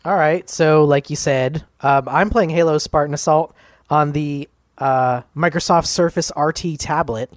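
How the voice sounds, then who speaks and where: A man's voice: fairly high pitch, unhurried, dry recording, clean studio sound. A man co-hosting a gaming podcast in casual chat, upbeat and full of "like" and "um" fillers. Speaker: podcast co-host.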